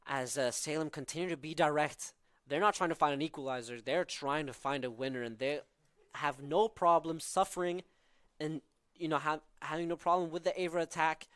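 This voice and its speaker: A man's voice: on the high side, fairly even intonation, normal speed, a bit bright, smooth, no room sound, clean studio sound. A young man from southern Norway with a Norwegian accent, calling live college soccer with tactical analysis and frequent fillers. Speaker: play-by-play commentator.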